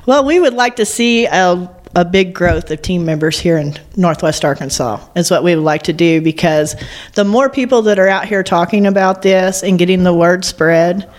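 A woman's voice: lowish voice, normal speed. A woman guest on a business radio show, speaking in a plain, earnest, down-to-earth way. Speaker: financial business owner, guest. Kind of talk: casual interview.

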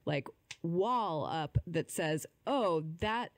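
A woman's voice: on the low side, lively intonation, extremely slow, no echo. Chatty woman guest in a dry space. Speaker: interview guest. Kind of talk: casual explanatory conversation.

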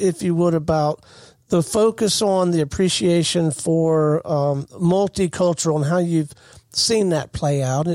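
A man's voice: on the high side, darkish tone, no echo. A man hosting a radio interview show in a studio, chatty and asking questions. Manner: chatty, asks questions, laughs easily.

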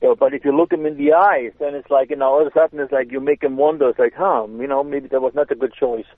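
A man's voice: medium pitch, fairly even intonation, fast talker, no room sound. A man with a German accent, a self-defense instructor, explaining in casual chat with hesitant restarts. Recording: tinny phone line.

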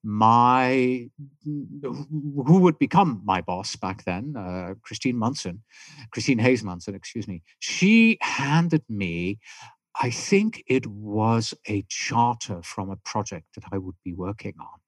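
A man's voice: mid-range voice, takes it slowly, no room sound, rough recording. A middle-aged man with a mixed British and German accent, a native German speaker, tells his story in an easy, rambling way, often restarting a sentence. The recording is dry and close, with almost no room sound. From Switzerland. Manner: relaxed storytelling, frequent restarts. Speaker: podcast guest. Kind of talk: casual interview chat.

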